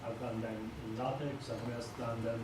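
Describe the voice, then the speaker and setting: A man's voice: mid-range voice, level tone, normal speed. A man explaining a point as a participant in a group discussion. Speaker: meeting participant. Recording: very echoey, noisy room, distant mic.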